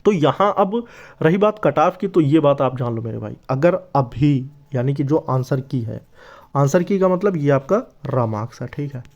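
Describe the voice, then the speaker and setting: A man's voice: mid-range voice, lively intonation, normal speed, a little mellow, muffled recording. A man giving an informal explainer to viewers as an online presenter, addressing them in a familiar, brotherly way with frequent check-in tags. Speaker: YouTube presenter of exam updates.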